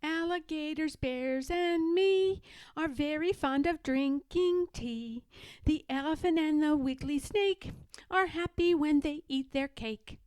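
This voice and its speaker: A woman's voice: high-pitched, takes it slowly, a little mellow, no echo, voice pushed up. A woman voicing an eager, earnest young badger girl in a children's storybook, plain-spoken and insistent. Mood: singsong. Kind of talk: children's storybook read-aloud.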